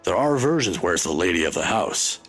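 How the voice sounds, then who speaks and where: A man voicing an older male professor who speaks in a measured, lecturing, wry way, with a husky voice. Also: low voice, very animated, fairly bright tone, faint music behind, exaggerated voice. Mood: musing.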